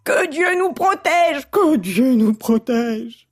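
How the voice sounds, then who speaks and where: Agitated frogs, voiced by a woman, high-pitched, pleading, repetitive cries, storybook, music behind. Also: lively intonation, takes it slowly, exaggerated voice. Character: agitated crowd of frightened frogs crying out.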